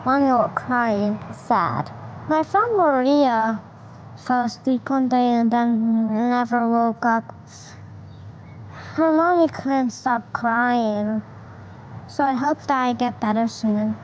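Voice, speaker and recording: A woman's voice: a little high, slow pace, highs cut off, slight background noise. A woman playing an innocent, cheeky, curious little boy in an audio drama, with a childlike, guileless way of talking. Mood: sad, innocent.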